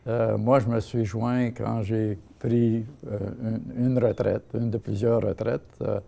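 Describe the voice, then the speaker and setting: An older man from Ottawa with a Franco-Ontarian French accent, speaking as an interview guest and pausing often with fillers and restarts. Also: fairly low pitch, level tone, vocal fry, mellow. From Ottawa, Canada. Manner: hesitant, many fillers and restarts. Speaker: guest, seniors' association president. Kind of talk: casual interview talk.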